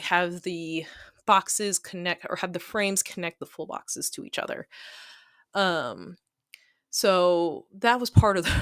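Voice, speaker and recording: A woman's voice: lowish voice, fairly bright tone, no echo, studio quality. A woman chatting casually and digressively over a hobby craft video, self-deprecating and giggly, often voicing her own thoughts as she goes. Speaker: hobby planner video creator.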